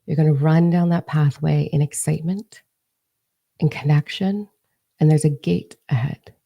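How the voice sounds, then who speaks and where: A woman guides a slow inner-child meditation in a low, gravelly voice that stays flat and even. Her tone is dark and mellow, and some phrases fall to a soft whisper. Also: muffled recording.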